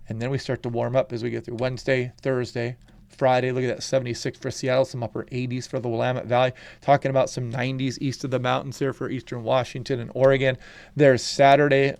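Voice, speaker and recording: A congested man talks his way through forecast maps in an easygoing, conversational manner. His voice has a gravelly, creaky edge, and the recording is dry and close. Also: medium pitch, dry recording. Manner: relaxed, conversational, frequent "you know" and "kinda". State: congested from a head cold. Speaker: weather channel host. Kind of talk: casual forecast walkthrough over weather maps.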